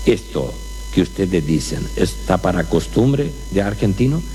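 Brief: a man putting on a polite foreign businessman, slow and halting, low voice with lively pitch swings. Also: slight background noise. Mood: earnest. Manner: slow, careful, broken grammar. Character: foreign male executive with halting Spanish.

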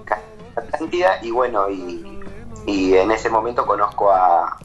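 An Argentine man in his thirties, a painter answering interview questions casually, with hesitant pauses and restarts. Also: fairly low pitch, wildly swooping pitch, soft background music, poor audio. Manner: hesitant, many fillers and restarts.

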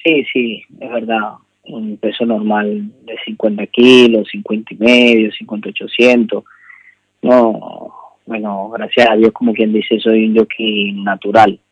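A man in his thirties with a Venezuelan accent, a polite radio guest who speaks haltingly and repeats himself, on dull phone-in audio. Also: on the low side, little pitch movement, breathy edge, no room sound. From Maracaibo, Venezuela. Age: 30s. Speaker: jockey, interview guest. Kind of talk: conversational radio interview.

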